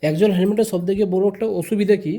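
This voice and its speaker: Male vlogger, high mellow voice, informal hands-on tutorial delivery with repeated phrases. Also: fairly even intonation, fast, slight background noise. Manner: informal, repeats phrases, addresses viewers directly. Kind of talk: casual step-by-step product tutorial.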